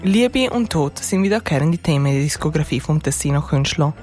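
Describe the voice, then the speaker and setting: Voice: medium pitch, expressive, brisk, a little mellow. A narrator reading scripted lines for a short culture feature. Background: faint music.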